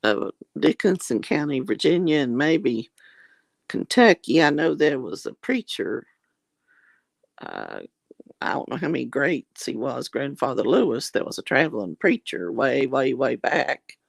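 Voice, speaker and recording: Slow, gravelly woman losing her voice. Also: a bass voice, very animated, no echo. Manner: folksy, calls viewers honey and darlings; religious, often says Lord have mercy. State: losing her voice, clearing her throat. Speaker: vlogger reading viewer comments. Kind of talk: casual live chat.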